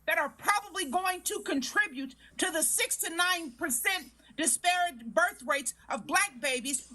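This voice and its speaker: A woman on a live-stream panel, lecturing in a sharp, sarcastic heated debate. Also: very high-pitched, low-quality recording.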